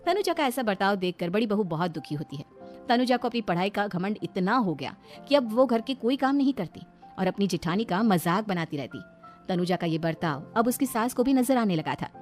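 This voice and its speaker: A woman's voice: medium pitch, lively intonation, a little fast, a little mellow. A woman reading scripted narration for a cartoon story, as a storyteller. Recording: faint music behind the voice. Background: background music.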